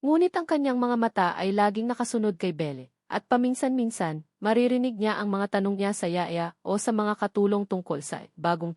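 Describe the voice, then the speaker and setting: Female story narrator, medium pitch, scripted romantic drama, very little room sound. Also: darkish tone, no room sound, studio quality.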